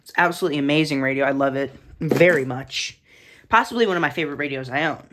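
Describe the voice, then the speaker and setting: A woman's voice: deep voice, quick pace, background noise. A woman giving a casual, blunt, informal show-and-tell tour of a collection as a vlogger. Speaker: vlogger showing a radio collection.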